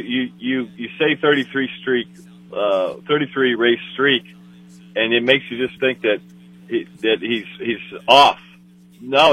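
A man co-hosting a motorsport talk show, speaking haltingly with many fillers and repeated words. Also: medium pitch. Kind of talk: casual motorsport chat. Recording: tinny phone line.

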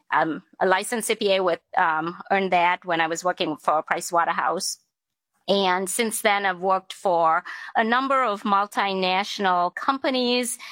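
A middle-aged woman from Sri Lanka talks through her career in finance as an interview guest. Her answers are measured and businesslike, with the odd um as she thinks. The sound is dry and close, with no room around it. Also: medium pitch, fairly bright tone, no echo. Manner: measured, businesslike, with frequent ums.

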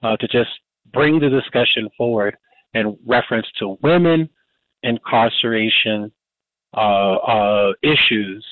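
A man's voice: fairly low pitch, unhurried, no room sound. A man in his fifties hosting a casual community radio talk show, rambling with many fillers and restarts. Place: Baltimore, Maryland. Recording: dry, phone-like narrow band.

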